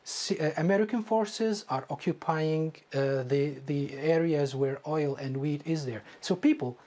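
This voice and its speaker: A middle-aged man from Syria with a Syrian Arabic accent and a husky voice, speaking as a guest in a televised political debate. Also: fairly high pitch, measured pace, mellow. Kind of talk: political debate on a TV talk show.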